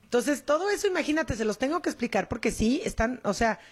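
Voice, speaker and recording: A woman's voice: fairly high pitch, animated, quick pace, slightly rough. A woman, co-hosting a casual radio chat in a chatty, joking way. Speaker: radio co-host.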